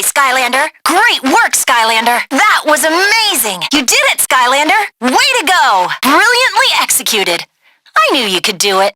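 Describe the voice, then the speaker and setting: A woman voicing a spirited young female adventurer in a video game, bossy but caring, brisk, earnest and encouraging. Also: very high-pitched, sharp tone, heavily acted. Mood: urgent, encouraging. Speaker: video game character, dispatcher and guide. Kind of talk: animated video game voice acting.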